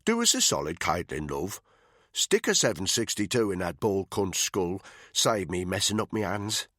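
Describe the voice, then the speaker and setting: A man's voice: sits low, big pitch swings, moderate pace, a bit bright, dry recording, studio quality, pitched above the performer's own voice, full character voice. A man doing a sneering crude soldier. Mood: nasty. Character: sneering brash male soldier, unit commander.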